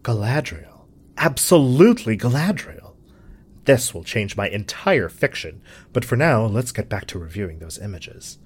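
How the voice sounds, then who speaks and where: An actor playing a pompous, eccentric scientist in an audio drama, speaking formally and with precise, theatrical diction. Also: male voice, on the low side, very animated, normal speed, husky. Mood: formal, absorbed. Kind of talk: scripted audio drama acting.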